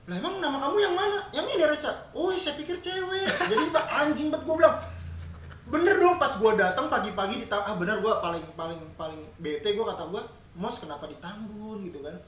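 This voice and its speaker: A man in his late twenties with a high voice, co-hosting a podcast in a casual, joking way. He tells stories quickly and swears freely. The sound is roomy, far from the mic, with a faint background noise. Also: expressive, fairly bright tone. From Sunda, Indonesia. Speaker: podcast co-host. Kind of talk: casual chat, storytelling.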